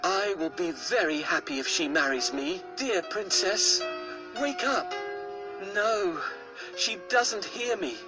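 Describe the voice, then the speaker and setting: A man's voice: sits high, slow pace, music in the background, voice pushed up. A man voicing a brave, determined young prince in a storybook reading. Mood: longing.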